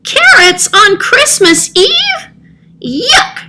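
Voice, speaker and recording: A woman voicing a sulky, excitable male cat for a children's storybook, with a whiny, dramatic delivery. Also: extremely high pitch, very slow, heavy background noise, pitched above the performer's own voice, full character voice. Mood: sulky, disgusted.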